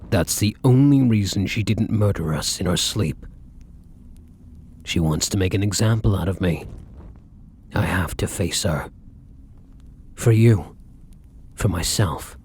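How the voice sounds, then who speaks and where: A man playing a devoted, courtly vampire lover in an ASMR roleplay, with a husky, breathy voice and formal, flowery endearments. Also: low voice, darkish tone, slight background noise. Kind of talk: ASMR roleplay, scripted romantic monologue.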